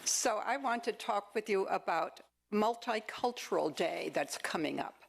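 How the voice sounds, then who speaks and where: A woman's voice: medium pitch, lively intonation, measured pace, gravelly, noisy background. A woman leading an informal, interactive talk, putting questions to a group and repeating answers back. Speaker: speaker leading audience discussion.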